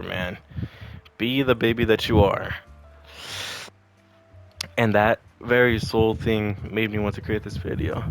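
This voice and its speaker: A man's voice: fairly low pitch, creaky. A young man venting about his boss in a rambling, profane storytime, talking to a camera at home. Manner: profane, rambling, animated retelling. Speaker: vlogger.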